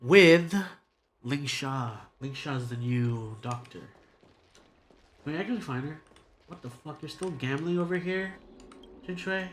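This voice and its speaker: A man's voice: a little high, measured pace, long pauses, a bit bright. A man streaming a game with casual, slangy, rambling commentary to his chat. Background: game music.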